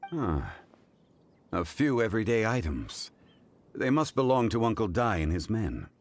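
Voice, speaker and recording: A calm, deep-voiced scholar. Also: male voice, music in the background. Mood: analytical. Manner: measured, formal, reasoning aloud. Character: composed, ageless scholarly gentleman, calm and deductive.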